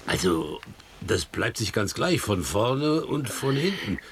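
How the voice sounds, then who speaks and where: A man's voice: fairly low pitch, big pitch swings, takes it slowly, a little hiss or hum, exaggerated voice. An actor playing an older, pious and humble farmhand father in a German radio drama, speaking in a plain, folksy, resigned way. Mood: folksy, amused.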